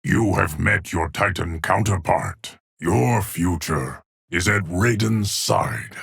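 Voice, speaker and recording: Game casting: an ageless, solemn keeper of time, low rumbling gravel, dry close sound, measured formal pronouncements. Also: male voice, fairly bright tone, dry recording, heavily acted. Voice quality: deep, gravelly, husky. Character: solemn ageless immortal male guardian of time. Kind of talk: video game character voice lines.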